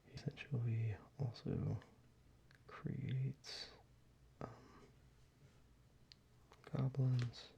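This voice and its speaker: A man whispers and speaks softly in a relaxed, casual way, with a gravelly edge and a dark, mellow tone. The recording is a little muffled, with a faint hiss. Faint clicks of handled cards sit in the background. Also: medium pitch, very slow, pauses often. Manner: soft-spoken, mostly whispered, casual with fillers. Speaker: ASMR creator. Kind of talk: soft-spoken ASMR card showcase.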